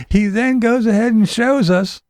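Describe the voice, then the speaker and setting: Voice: medium pitch, a little mellow, dry recording, clean studio sound. A commentator narrating a mocking, sarcastic video about someone, in an informal way.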